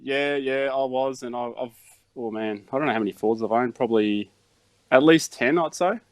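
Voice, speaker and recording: A middle-aged man from Denmark, a guest on an interview show, telling stories in a relaxed way with plenty of fillers and chuckles. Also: medium pitch, normal speed, no room sound. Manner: laid-back storytelling with fillers and chuckles. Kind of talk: casual chat.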